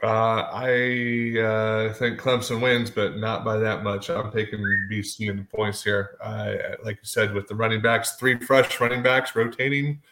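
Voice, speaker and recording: A man's voice: lowish voice, little pitch movement, a little slow, few pauses, a bit bright. A man co-hosting a sports podcast, chatting casually in a blunt, bantering way. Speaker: podcast co-host.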